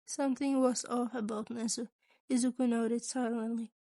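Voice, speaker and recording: A woman's voice: on the high side, level tone, moderate pace, dark tone, no room sound. A woman reading scripted fan fiction aloud as the narrator of an audio story. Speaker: podfic narrator.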